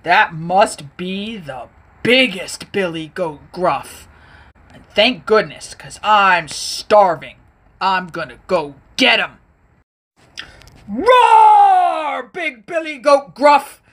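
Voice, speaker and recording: A greedy male troll from a children's storybook, voiced by a woman, roaring his threats in slow, drawn-out words. His voice swings widely up and down as he boasts about gobbling up goats. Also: on the low side, expressive, fairly bright tone, exaggerated voice. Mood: hungry. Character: hungry boastful male troll guarding a bridge.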